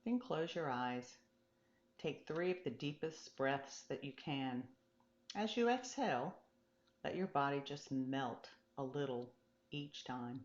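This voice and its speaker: A woman voicing a calm, patient, encouraging meditation teacher in a storybook, gently reassuring as the teaching goes on. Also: extremely low pitch, takes it slowly, roomy sound, dull audio, heavily acted. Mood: reassuring, instructive. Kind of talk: storybook character dialogue.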